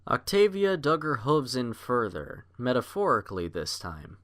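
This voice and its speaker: A man narrating a scripted story as an audiobook reader, in measured literary storytelling. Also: mid-range voice, wildly swooping pitch, fairly bright tone.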